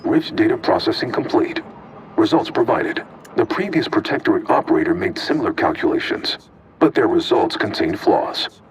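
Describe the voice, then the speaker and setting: A man voicing a calm, formal male archive computer intelligence in a game, speaking precisely. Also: lowish voice, recorded from a distance, a little hiss or hum, rough recording.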